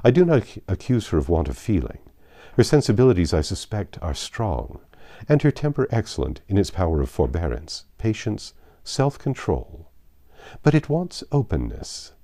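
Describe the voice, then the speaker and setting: A man voicing a frank, composed country gentleman from a classic novel, with an emphatic, measured and formal delivery. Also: sits low, big pitch swings, dry recording, studio quality, exaggerated voice. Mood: frank, earnest.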